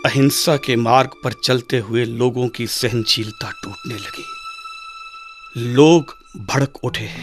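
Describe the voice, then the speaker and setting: A man playing a patient father who teaches his son in a measured, didactic way, with dramatic pauses, in a radio drama. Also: mid-range voice, close to an everyday voice. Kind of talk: Hindi radio drama acting.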